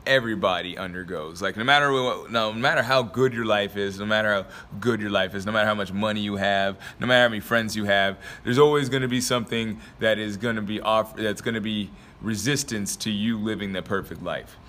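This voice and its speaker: Slightly raspy young man, bright tone. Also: lowish voice, a little fast, few pauses. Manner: rambling, filler-heavy, laughs often. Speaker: motivational vlogger and podcaster. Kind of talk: casual motivational talk to camera.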